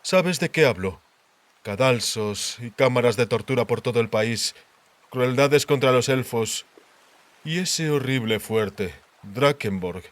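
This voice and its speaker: A man voicing a grave, worldly king in an audiobook, speaking in a measured, deliberate, cautionary way. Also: medium pitch, animated. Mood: grave warning. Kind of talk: audiobook character dialogue.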